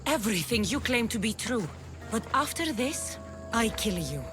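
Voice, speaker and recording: A woman's voice: a little high, lively intonation, moderate pace, natural voice. A woman playing a fierce, bitter young warrior in a video game, with a taunting, cutting delivery. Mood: cold, challenging. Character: fierce young female cult-raised warrior, bitter, menacing, contemptuous. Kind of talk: cinematic video game acting. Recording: music under the voice.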